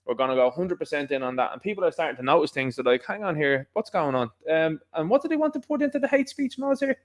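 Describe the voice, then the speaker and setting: Animated Irish man talking commentary. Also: on the high side, fast. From Ireland. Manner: conversational and animated, mimicking others in asides. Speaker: host. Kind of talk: casual monologue commentary.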